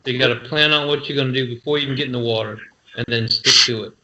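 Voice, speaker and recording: A man explains practical know-how plainly in a relaxed live-stream chat. His tone is bright and sharp, heard through a dull, lo-fi line. He speaks at a middle pitch. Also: racing, slight background noise.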